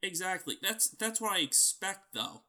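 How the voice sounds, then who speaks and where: Bright, nasal, argumentative man. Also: high-pitched, animated. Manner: argumentative, quick to disagree. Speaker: podcast co-host. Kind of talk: casual chat.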